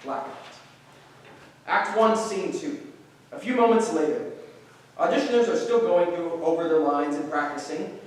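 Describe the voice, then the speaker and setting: A man's voice: fairly high pitch, moderate pace, long pauses. Man narrating in a very echoey hall. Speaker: narrator reading stage directions. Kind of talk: scripted narration. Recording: echoey hall, distant mic.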